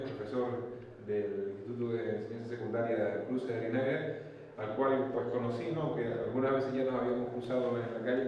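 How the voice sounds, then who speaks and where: A man's voice: medium pitch, darkish tone. A man introducing a speaker at a public talk, hesitant with many fillers and restarts. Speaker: event presenter. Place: municipal hall. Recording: echoey hall, distant mic, lo-fi.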